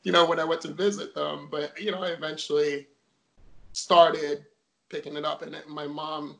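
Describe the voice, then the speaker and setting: A young man from Jamaica talks as an interview guest, his voice slightly high and full of gravelly fry. He tells a long personal story in a loose, chatty way with plenty of fillers. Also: level tone, normal speed, fairly bright tone, rough recording. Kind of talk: casual interview chat.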